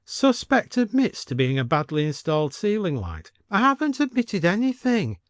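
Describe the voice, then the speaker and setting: A man's voice: sits high, huge pitch swings, normal speed, no room sound, studio quality, voice pushed up. A man voicing an exasperated, indignant fellow protesting querulously in an audio story.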